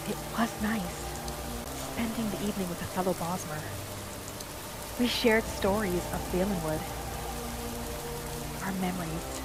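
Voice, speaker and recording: A woman playing a gentle, homesick wood elf wanderer who thinks aloud softly in a first-person video game roleplay. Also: medium pitch, very noisy, close to an everyday voice. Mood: calm, reflective. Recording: music and rain behind the voice. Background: game music and rain.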